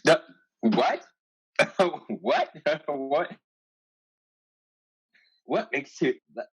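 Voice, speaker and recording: An actor playing a wry, calculating pirate with a dry, halting delivery in a staged play reading. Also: mid-range voice, very animated, breathy edge, full character voice. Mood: flustered, halting. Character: wry male pirate, calculating yet honorable suitor. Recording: dull lo-fi sound.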